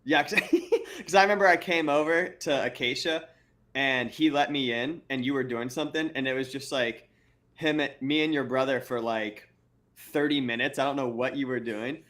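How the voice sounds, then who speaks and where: A man in a middle pitch range who hosts a relaxed interview. He rambles, restarts his words, and keeps breaking into laughter as he talks. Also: normal speed. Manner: rambling, stammering, laughs often. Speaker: podcast host. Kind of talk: casual interview chat.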